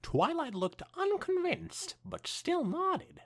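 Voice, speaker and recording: A man's voice: extremely high pitch, sing-song, takes it slowly, gravelly, fairly bright tone. A man co-hosting a podcast, narrating a story aloud and breaking into casual banter. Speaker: podcast co-host.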